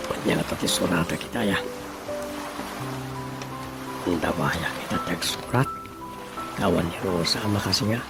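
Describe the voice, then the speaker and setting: Creaky, brooding Ilocano character. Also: male voice, low voice, normal speed, long pauses. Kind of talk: Ilocano comedy radio drama acting. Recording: loud music behind the voice.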